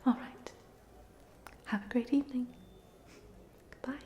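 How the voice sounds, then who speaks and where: A woman's voice: fairly high pitch, unhurried, lo-fi sound, a little hiss or hum, natural voice. A woman playing a polite, chatty ghost of a drowned student in a whispered, hesitant ASMR roleplay. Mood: gentle, friendly. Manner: whispered, hesitant, gently rambling.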